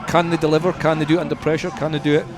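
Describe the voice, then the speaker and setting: A man giving conversational sports punditry as a radio guest, measured and repeating words for emphasis. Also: a little high, flat delivery, music in the background, crowd noise.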